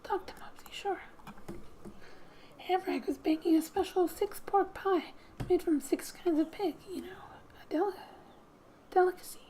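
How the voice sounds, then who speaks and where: A woman softly narrating a game as ASMR host, breathy and often near a whisper, with gentle, unhurried, playful asides. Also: extremely high pitch. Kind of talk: soft-spoken ASMR game narration, reading text aloud with asides.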